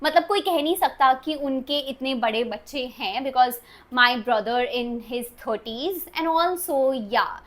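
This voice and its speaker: A woman's voice: high voice, expressive, rapid-fire, a bit bright. A woman in her twenties with an Indian English accent, chatting casually in a sit-down Q&A vlog and often slipping into Hindi. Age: 20s. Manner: chatty, heavy use of "like", switches between English and Hindi. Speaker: YouTuber.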